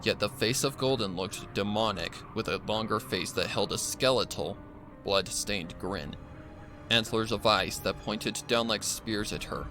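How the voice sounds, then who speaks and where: A man reading a scripted horror story as narrator in a deliberate storytelling manner. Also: fairly low pitch, music in the background.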